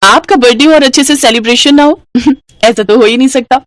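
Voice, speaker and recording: A woman's voice: high voice, brisk, no echo, studio quality, pitched above the performer's own voice, natural voice. A woman voicing an eager, forward, infatuated schoolgirl with a coy, gushing manner in a story.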